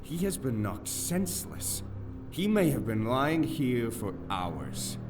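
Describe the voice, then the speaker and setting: A young nobleman in a fantasy audio drama, over a prominent music bed. His delivery is slow and theatrical, swinging widely in pitch, with anxious, eloquent, old-fashioned phrasing. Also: big pitch swings. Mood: alarmed.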